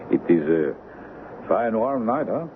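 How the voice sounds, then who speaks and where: An actor playing a menacing, suspicious first mate in a 1970s radio drama, with slow, stilted phrasing. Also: male voice, fairly low pitch, heavily acted. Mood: suspicious, sly. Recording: noisy, phone-like old radio broadcast.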